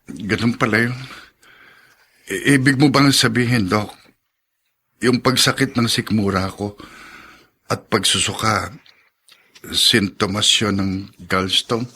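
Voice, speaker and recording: A man's voice: lowish voice, takes it slowly, long pauses, bright, forceful tone, breathy edge, exaggerated voice. An actor playing an ailing husband who brushes off his troubles, hesitant and strained, in a radio drama. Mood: puzzled.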